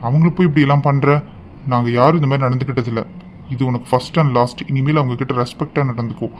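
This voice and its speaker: A man's voice: mid-range voice, steady tone, quick pace, close to an everyday voice. A young man acting a stern, disappointed husband who reproves his wife in a family melodrama. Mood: stern, reproving. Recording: dull sound with faint background noise.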